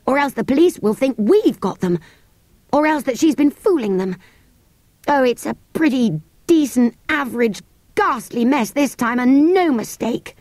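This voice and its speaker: Woman playing a gloomy, high-voiced, slangy schoolboy. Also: expressive, a little slow, a little mellow, no room sound. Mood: gloomy, indignant. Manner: complaining, emphatic. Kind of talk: storybook character voice.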